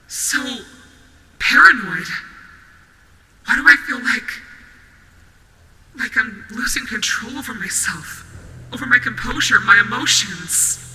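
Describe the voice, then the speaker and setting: A woman voicing a menacing nightmare rabbit demon who is unsettled and paranoid, speaking haltingly in an audio roleplay. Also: a little high, bright, forceful tone, a little hiss or hum, heavily acted. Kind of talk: scripted audio roleplay acting.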